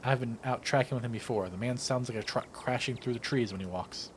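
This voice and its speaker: A man's voice: medium pitch, a little fast, slight background noise, close to an everyday voice. A man voicing an eager, headstrong young woman who hunts monsters for her video channel, pleading and upbeat, in a game. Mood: persuasive. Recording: music playing behind the voice.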